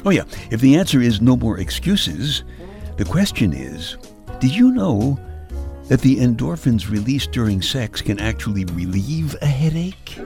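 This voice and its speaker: A man's voice: medium pitch, measured pace, soft background music. A man with a Brooklyn accent giving a wry, scripted comic monologue, chuckling at his own jokes. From Brooklyn, New York. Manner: wry deadpan storytelling with chuckles. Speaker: radio humor host.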